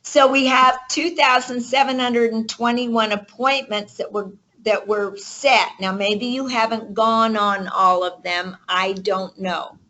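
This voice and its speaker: Slow, high-voiced woman coaching a group. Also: fairly even intonation, pushed and bright. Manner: emphatic, checks in with rhetorical questions. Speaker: business coach leading a group call. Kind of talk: motivational coaching, interactive teaching with questions to the group. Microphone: conference call line. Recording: muffled lo-fi conference call.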